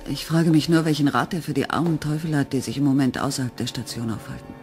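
A man's voice: mid-range voice, fast, darkish tone, faint music behind, natural voice. A man playing a troubled, doubting scientist in a 1980s German sci-fi radio drama. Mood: doubtful.

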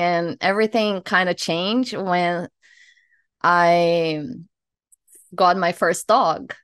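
A woman's voice: on the low side, a bit bright, clear, resonant tone. A woman in her thirties from Brazil with a Brazilian Portuguese accent, chatting in a friendly way as a hypnotherapist introducing herself on a video call, laughing easily and making small slips in English. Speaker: hypnotherapist host. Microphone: headphones on a video call.

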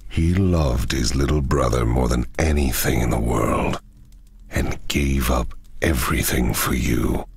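An actor playing a grave, menacing man in an anime, telling a solemn, deliberate tale in a gravelly voice. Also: rumbling low, dry recording, heavily acted. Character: grave, menacing man revealing a dead brother's secret. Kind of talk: dramatic anime dub monologue.

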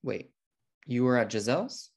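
A man's voice: mid-range voice, lively intonation, moderate pace, creaky, a little mellow, breathy edge. A man playing an uptight, exasperated twin brother, indignant and earnest, in a sitcom table read on a video call. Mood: annoyed. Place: indoor room at home on video call.

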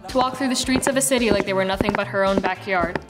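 A woman's voice: medium pitch, lightly acted. Woman as a wry narrator over music. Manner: wry, deliberate, literary. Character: arch, knowing storybook-like narrator. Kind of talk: scripted radio play narration.

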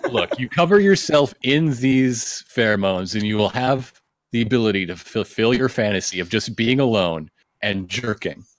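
A man's voice: on the low side, lively intonation, moderate pace, no echo. A man reading aloud on a podcast, adding joking asides. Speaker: podcast reader.

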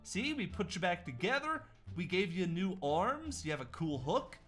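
A man playing an upbeat young night guard who coaxes and reassures, then protests loudly when hit, in a Minecraft roleplay. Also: high voice, faint music behind. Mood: coaxing. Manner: reassuring, excitable, quick to protest.

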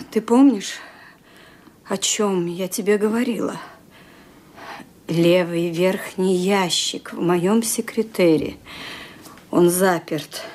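Slow, deliberate speech from a brooding, imperious old woman, husky and breathy, often trailing into a whisper. She sounds like a figure from a vintage radio play. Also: medium pitch, darkish tone. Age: older. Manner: brooding, imperious with her maid. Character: frail elderly English lady, stern and fearful. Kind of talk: 1970s-era radio play acting.

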